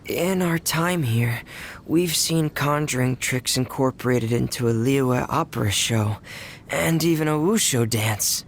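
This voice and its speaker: A man's voice: mid-range voice, measured pace, a little hiss or hum, natural voice. An actor playing a shy, reserved teenage boy in a video game, hesitant and earnest.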